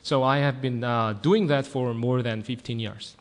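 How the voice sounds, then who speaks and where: A man's voice: mid-range voice, fairly even intonation, moderate pace. A middle-aged man, a native Korean speaker with a Korean accent, gives an informal conference presentation as a professor, telling anecdotes with light self-deprecating humor. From South Korea. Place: large conference hall, amplified talk. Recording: echoey hall with long reverb.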